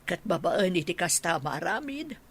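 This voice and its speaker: A woman's voice: lowish voice, very animated, normal speed, fairly bright tone, breathy edge, heavily acted. An actress with an Ilocano accent playing an older, scheming, insistent mother in a radio drama. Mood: calculating, insistent.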